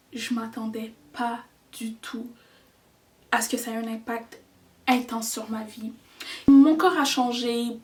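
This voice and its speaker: High-voiced Québécoise woman, chatty advice vlog. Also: far from the mic. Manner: chatty, earnest, mixes in English words. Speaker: vlogger.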